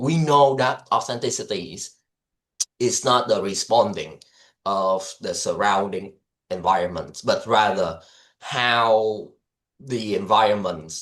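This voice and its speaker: Slow, low-voiced Vietnamese-accented man. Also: a bit bright. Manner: loose, hesitant, many fillers, laughs between points. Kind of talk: casual discussion.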